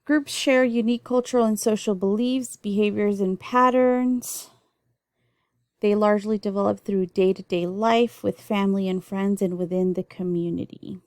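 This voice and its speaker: A woman's voice: medium pitch, fairly even intonation, normal speed, a little mellow, no echo. A young adult woman, born in El Salvador and raised in the US, teaching a recorded nursing lecture in an explanatory way with frequent ums and restarts. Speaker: nursing instructor.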